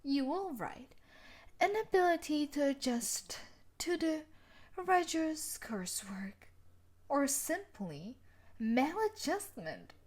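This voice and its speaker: A woman's voice: high voice, very animated, takes it slowly, darkish tone, full character voice. A woman playing a sadistic, possessive young student bully in an intimate audio roleplay, taunting and menacing, slipping into whispers.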